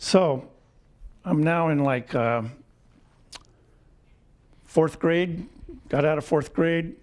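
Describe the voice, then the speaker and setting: A man in his seventies giving a lecture with personal stories, wry and chuckling, often clearing his throat. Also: on the high side, very slow. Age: 70s. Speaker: guest lecturer. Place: lecture hall.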